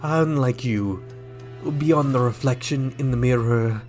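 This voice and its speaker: Alien ambassador played by a man, very unhurried, formal and theatrical, coolly menacing, faint music underneath, radio drama. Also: medium pitch, a little mellow, close to an everyday voice. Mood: proud, menacing. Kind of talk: sci-fi radio drama acting.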